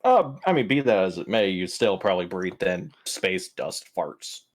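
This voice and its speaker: A man's voice: low-pitched, very animated, normal speed. A man playing in a tabletop roleplay, talking casually in character with a questioning, dryly wry manner.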